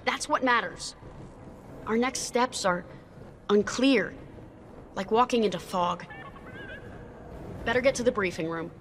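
A woman's voice: on the high side, unhurried, long pauses, a bit bright, slight background noise. A woman acting an earnest, worried rebel starfighter pilot in a video game, speaking in a candid, serious way. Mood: uneasy, resolute. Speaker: fighter pilot.

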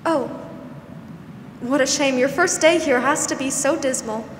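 A young woman acting a gentle, weary, protective older aunt in a 1940s-style radio drama, soothing and hesitant. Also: high voice, unhurried. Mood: kindly, patient. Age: young adult. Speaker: student actor. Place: school theatre stage. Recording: echoey hall, noisy background.

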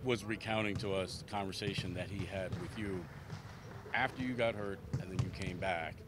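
A male reporter asking a basketball player measured, conversational questions. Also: low-pitched, steady tone. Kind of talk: press question. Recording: loud background noise.

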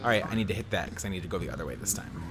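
A man giving casual, excitable let's-play commentary while he drives in a game, thinking aloud. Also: low voice, very fast. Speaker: gaming YouTuber. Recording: game music behind.

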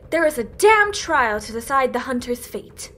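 Woman as high-voiced, imperious inhuman judge. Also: big pitch swings, moderate pace, pushed and bright. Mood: stern. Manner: formal, commanding, clipped declarations. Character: stern, imperious female judge of inhuman race.

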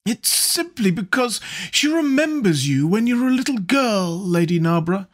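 A man's voice: sits high, sing-song, moderate pace, pitched above the performer's own voice. Man doing a languid witty aristocrat, drawling, lilting voice, audiobook.

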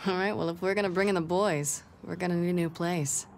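A woman's voice: fairly low pitch, steady tone, quick pace, vocal fry, darkish tone, a little hiss or hum. A woman playing a cocky, reckless, tough gang boss in an action video game, with brash, wisecracking delivery. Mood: businesslike.

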